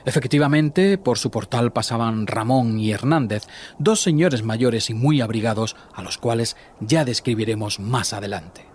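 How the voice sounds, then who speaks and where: An actor from playing a dry, matter-of-fact storyteller in a scripted radio play. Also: male voice, mid-range voice, moderate pace, a little mellow, husky, natural voice. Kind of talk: scripted radio drama narration.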